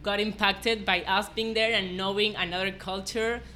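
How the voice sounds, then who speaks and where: Bright-voiced young woman, earnest and informal. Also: mid-range voice, fairly even intonation, moderate pace, a little hiss or hum. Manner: earnest, informal, frequent "like" and "you know". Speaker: podcast guest, former volunteer. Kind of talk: casual personal storytelling in an interview.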